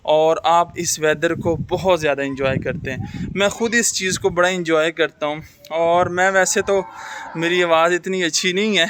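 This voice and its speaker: A man's voice: fairly high pitch, steady tone, normal speed, a bit bright, slight background noise. A man vlogging in a casual, reflective, advice-giving way, mixing English words into his speech. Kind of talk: casual chat to camera. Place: outdoors in rainy weather.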